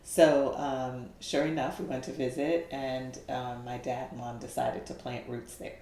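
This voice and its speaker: Low-voiced Bahamian woman telling her story. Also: flat delivery, measured pace, warm tone, reverberant. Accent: faint Bahamian lilt in speech pattern. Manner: laughing storyteller with many fillers. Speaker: guest. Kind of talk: casual interview chat.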